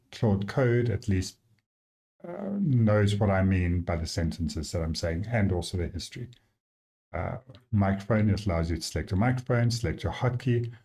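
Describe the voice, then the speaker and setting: A man with a South African accent, the app's developer, casually walking through his software and thinking aloud with frequent fillers. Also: lowish voice. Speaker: app developer giving a demo.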